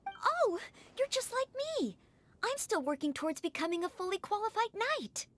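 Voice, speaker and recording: A woman's voice: extremely high pitch, very animated, normal speed, fairly bright tone, heavily acted. A woman voicing a polite, earnest young maid who trains as a knight, in a video game. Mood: sympathetic. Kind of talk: English video game voice acting.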